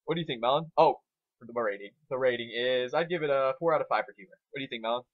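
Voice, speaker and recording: A man's voice: mid-range voice, very fast. Guy doing a casual review chat, rambles and swears a lot, kinda muffled cheap recording. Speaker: co-host reviewing fan fiction.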